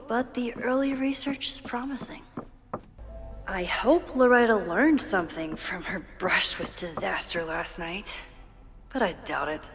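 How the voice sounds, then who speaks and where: A woman playing an inquisitive young photographer and amateur sleuth in a video game, casual, dry and probing. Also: mid-range voice. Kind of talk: naturalistic video game acting.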